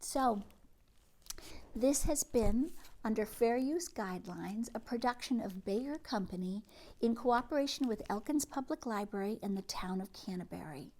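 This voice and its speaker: A woman hosting a read-aloud for young children, speaking expressively and gently, with hushes and words that drop toward a whisper. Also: on the high side. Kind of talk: children's storybook read-aloud and chat to families.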